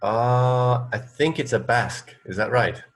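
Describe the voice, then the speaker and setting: A man's voice: mid-range voice, a little slow. A man co-hosting a radio show in casual chat, hesitating and repeating phrases as he goes. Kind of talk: casual chat, trading fun facts.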